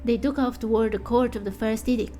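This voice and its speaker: Woman acting a curt temple guard, high voice. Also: fairly even intonation, a little fast, dark tone, close to an everyday voice. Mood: stern. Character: stern temple guard hunting intruders.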